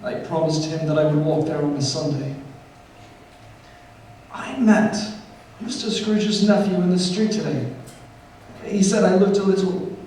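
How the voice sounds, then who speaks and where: A humble father in a live radio drama, voice a little high. He speaks with halting, earnest tenderness through his grief. It is picked up from a distance with heavy echo and faint noise. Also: dark tone. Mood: tender, subdued. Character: gentle grieving poor father, tender and humble. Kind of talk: live stage radio play. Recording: very echoey stage, distant mic, faint noise.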